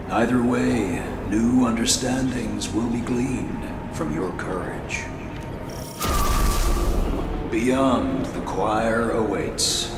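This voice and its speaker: Here is a menacing, scholarly old spirit from a video game. He speaks very deliberately and with grave theatricality, as if every word were a dark lesson. The voice rings with roomy echo over noisy ambience. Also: fairly low pitch. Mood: cold, foreboding. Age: older. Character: cryptic, menacing, scholarly old male lost warrior spirit. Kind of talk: scripted dramatic game voice acting.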